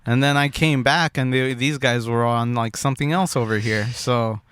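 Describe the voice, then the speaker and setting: A man's voice: medium pitch, steady tone, moderate pace, fairly bright tone, clean tone, no echo, clean studio sound. A young man co-hosting a podcast, telling rambling stories in a casual, laid-back way with lots of swearing. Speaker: podcast co-host. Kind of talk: casual chat.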